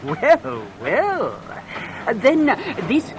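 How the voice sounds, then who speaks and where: A man's voice: very high voice, wildly swooping pitch, drawn-out words, background noise, heavily acted. An actor playing a scheming, falsely jovial clergyman in an audio drama, pompous and chuckling, given to tagging remarks with "what?". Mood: sly, chuckling.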